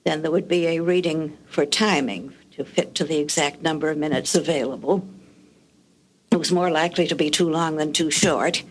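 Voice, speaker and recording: An older woman with a low voice, reminiscing about radio production in a measured, articulate, dryly humorous way. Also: fairly even intonation, poor audio. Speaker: guest recalling radio production history. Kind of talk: reminiscing anecdotes.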